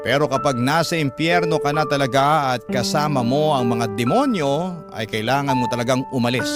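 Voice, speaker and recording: A man with a Filipino accent reading a listener's story in the first person as a radio storyteller. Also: mid-range voice. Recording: loud music under the voice. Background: loud background music.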